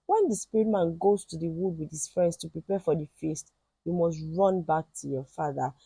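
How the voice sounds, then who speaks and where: A woman's voice: lowish voice, animated, normal speed, vocal fry, warm tone, slightly rough, no room sound, full character voice. A woman voicing a protective talking skull in a folktale, giving cautioning instruction. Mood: urgent warning.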